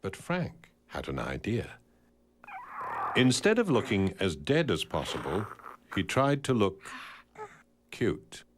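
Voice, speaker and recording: A man narrating an animated storybook tale in a wry, deadpan, scripted storyteller's manner. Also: fairly low pitch, slow pace, pauses often, noisy background. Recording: music behind the voice. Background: music.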